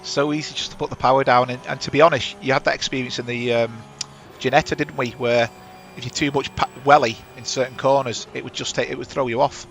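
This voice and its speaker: A man's voice: medium pitch, steady tone, fast, a bit bright, a little hiss or hum. A chatty man hosting a sim racing stream on a headset, talking over the action and correcting himself. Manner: chatty, rambling, self-correcting. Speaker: sim racing broadcast host. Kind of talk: live race commentary.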